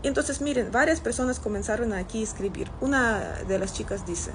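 A woman's voice: on the high side, brisk, background noise. A woman in her thirties from Russia, with a Russian accent, chatting casually to camera as she replies to comments. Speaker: social media content creator. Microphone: phone at arm's length.

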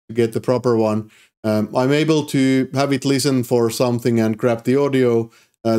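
A man in his thirties with a Finnish accent talks through a coding tutorial from his home office. His voice sits at an ordinary middle pitch, and he explains at an easy pace, pausing on "uh" between thoughts. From Finland. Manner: relaxed, explanatory, frequent "uh" fillers. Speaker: programming YouTuber. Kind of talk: casual screen-recorded coding tutorial.

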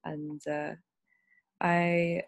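A young woman moderating a panel over a video call, wearing headphones. Her voice is lowish and quite level, and she speaks warmly and encouragingly. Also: flat delivery, drawn-out words. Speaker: panel host. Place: room indoors with a sofa.

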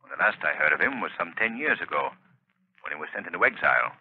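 A cool, unflappable crime fighter answers threats with dry irony. His voice is very deep and a little husky. It sounds dry and tinny, like an old radio broadcast. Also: fast, dry recording, full character voice. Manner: calm, dry, ironic. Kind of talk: 1930s radio drama acting.

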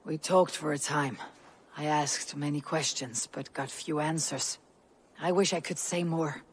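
A woman's voice: low-pitched, fairly even intonation, a little slow, breathy edge, exaggerated voice. An actress playing a loyal, stoic Viking warrior woman, restrained and earnest, in a dramatic video game. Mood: frustrated.

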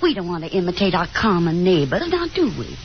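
A woman's voice: fairly low pitch, sing-song, brisk, muffled recording. An actress playing a fussy, genteel, self-pitying middle-aged mother in a coaxing, scolding, theatrical manner in a 1950s radio play. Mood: coaxing, reproachful. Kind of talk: 1950s radio drama acting. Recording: band-limited 1950s radio, faint hiss.

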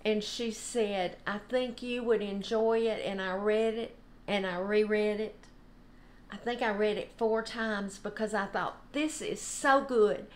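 An older woman giving an informal, unhurried devotional talk. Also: mid-range voice, fairly even intonation. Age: older, about 70s. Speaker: devotional host. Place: home kitchen, indoors.

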